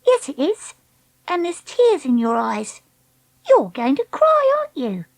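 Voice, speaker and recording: A woman's voice: very high-pitched, very animated, measured pace, dry recording, voice pushed up, heavily acted, poor audio. A woman voicing a bratty, tired young girl in a storybook, petulant and given to screaming and sneering. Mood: taunting.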